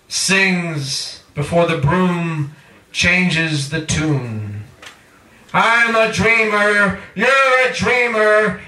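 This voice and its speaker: A man performs spoken-word poetry in a high, piercingly bright voice. He takes his time, stretching and chanting phrases dramatically. The recording is distant, made in an echoey room. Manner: dramatic chanting delivery with drawn-out phrases and cries. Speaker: poet reading own work. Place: indoor live reading at a cafe.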